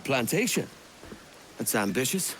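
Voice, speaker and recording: A cocky roguish pirate captain. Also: male voice, medium pitch, sing-song, measured pace, fairly bright tone, a little hiss or hum, low-quality recording. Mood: wry, businesslike. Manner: wry, swaggering. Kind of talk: video game cinematic acting.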